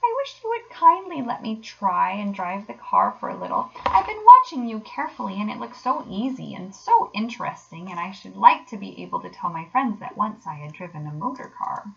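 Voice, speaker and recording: A woman's voice: medium pitch, very animated, a little fast, vocal fry, hardly any pauses, dull audio, slight background noise. A woman voicing a sly toad who poses as a meek, feeble washerwoman, polite and wheedling, in a storybook.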